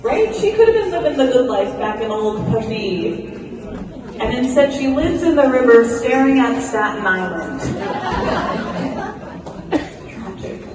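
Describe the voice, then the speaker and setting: A woman's voice: on the high side, sing-song, unhurried, long pauses, a crowd in the background. A woman doing a stand-up comedy set with a dry, self-deprecating delivery, at an event. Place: event hall with a crowd. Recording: echoey hall, mic heard from far off.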